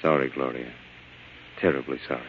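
An actor playing an earnest lawyer husband with a hesitant, melodramatic delivery in 1940s radio drama style. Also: male voice, a bass voice, steady tone, a little fast, a little hiss or hum. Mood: grave, cold. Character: smooth-talking lawyer husband feigning worried innocence. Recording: dull old radio broadcast.

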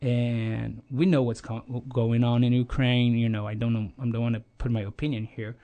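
A man from Brazil speaks English with a Brazilian Portuguese accent in a relaxed interview. His pitch sits in the middle. He is sincere, pausing often with fillers and starting over mid-sentence. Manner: hesitant, many fillers and restarts, earnest. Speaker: guest. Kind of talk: casual interview conversation.

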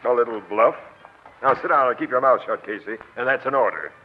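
A tinny old radio broadcast carries a man acting as a gruff police captain. He is commanding and sly, firing off sarcastic slang at a middling pitch in 1940s crime drama style. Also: fairly quick, a little hiss or hum, close to an everyday voice. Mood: brusque, skeptical. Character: brusque, skeptical, sly male police captain.

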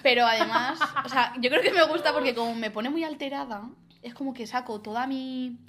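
Bright, higher-voiced young Spanish woman chatting. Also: fairly quick, slight background noise. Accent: Spanish (Spain). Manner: animated, slangy, outspoken. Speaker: podcast co-host. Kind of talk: casual chat.

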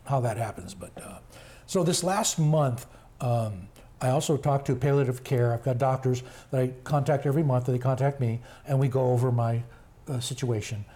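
Older man, hoarse, dark mellow tone, halting speech, grandfather being interviewed. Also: medium pitch. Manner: slow, halting, many fillers. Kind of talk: casual family interview.

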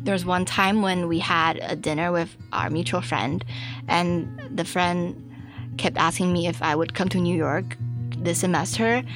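A young woman from China speaks as an interview guest, telling personal stories in a casual way. Her voice sits a little low for a woman, and faint music plays underneath. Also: fairly even intonation, unhurried. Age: young adult.

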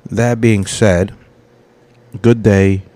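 Man, 30s, deep low voice, comedian podcast host, rambling, swears a lot, restarts his sentences. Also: fairly even intonation, very slow, dark tone. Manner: rambling, profane, self-correcting. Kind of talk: casual solo podcast monologue.

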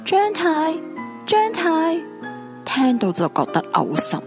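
A woman's voice: very high-pitched, huge pitch swings, takes it slowly, music in the background, heavily acted. A woman with a Hong Kong Cantonese accent playing a bitter, heartbroken, stubborn young female ghost in a supernatural radio drama. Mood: cold, mocking.

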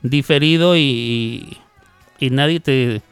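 A mid-pitched man with a northern Mexican accent chats loosely through a live radio show, joking and laughing as he talks. Faint music plays behind his voice. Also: takes it slowly, clear, resonant tone, no room sound. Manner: chatty, laughs often, playful asides. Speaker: radio host. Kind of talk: casual live radio chat.

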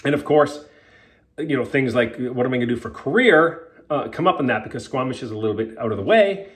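A man's voice: medium pitch, animated, fairly quick. A man hosting his own podcast as a health coach, talking candidly and emphatically with fillers and the odd swear, a little sniffly from a cold. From Canada. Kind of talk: casual solo podcast monologue.

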